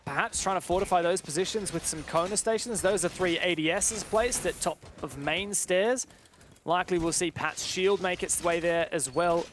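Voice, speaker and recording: A man doing live play-by-play esports commentary with a dramatic delivery that builds excitement around the action. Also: high-pitched, normal speed, a little hiss or hum.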